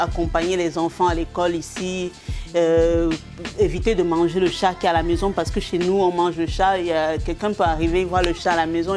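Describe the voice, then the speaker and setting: A middle-aged woman from Cameroon with a Cameroonian French accent, a comedian telling anecdotes in an interview, animated and laughing often between restarts. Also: on the low side.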